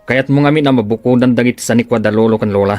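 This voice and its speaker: Man playing sick young man, snappish, bitter, Ilocano accent, medium pitch, soft music behind, radio drama. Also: rapid-fire, natural voice. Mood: snappish, sarcastic.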